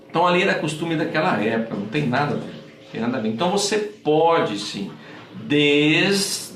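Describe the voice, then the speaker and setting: A man's voice: mid-range voice, a bit bright. A Brazilian man preaching a conversational sermon to a live audience, repeating phrases for emphasis. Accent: Brazilian Portuguese. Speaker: pastor. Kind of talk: livestream sermon. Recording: echoey room, distant mic, faint noise.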